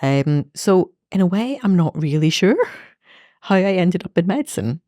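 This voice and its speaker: A woman's voice: lowish voice, animated, moderate pace, mellow, dry recording. Thoughtful Belfast woman, dry close sound. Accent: Northern Irish, Belfast. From Northern Ireland. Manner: thoughtful, many fillers and restarts. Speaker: guest, medical educator. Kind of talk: reflective interview talk.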